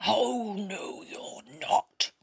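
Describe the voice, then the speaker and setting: A woman voicing a greedy male troll from a children's storybook, with a menacing, booming, drawn-out delivery. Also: fairly even intonation, gravelly, whispered, full character voice. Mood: menacing, hungry. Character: greedy, roaring, bullying male troll under a bridge.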